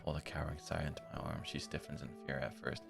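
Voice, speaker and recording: A man's voice: a bass voice, steady tone, quick pace, creaky. A man streaming a visual novel, reading its text aloud dramatically and breaking off for blunt, slangy, casual reactions. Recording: loud music behind the voice. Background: game music.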